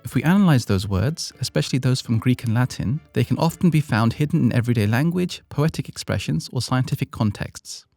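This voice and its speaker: A man's voice: medium pitch, expressive, brisk, vocal fry, hardly any pauses, darkish tone, soft background music. A British man hosting an educational podcast, reading a script with playful, self-deprecating asides. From Britain. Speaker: podcast host.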